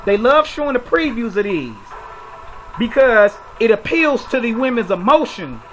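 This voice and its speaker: High, bright-voiced man lecturing bluntly. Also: very animated, moderate pace, faint music behind, low-quality recording. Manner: preachy, blunt, lecturing with rhetorical questions. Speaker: commentary video host. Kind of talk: opinionated commentary monologue.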